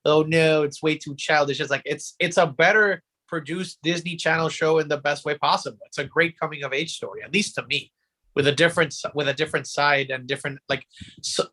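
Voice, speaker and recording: A man's voice: a little high, steady tone, a little fast, bright, forceful tone. A man hosting a casual podcast chat, often repeating his phrases for emphasis. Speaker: podcast host.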